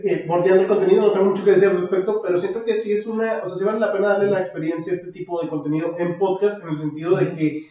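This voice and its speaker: A Mexican man co-hosts a casual podcast in a high, mellow voice, talking quickly. The recording is very echoey, as if he sits far from the microphone. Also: fairly even intonation. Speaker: podcast co-host. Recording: dull, very echoey, distant mic.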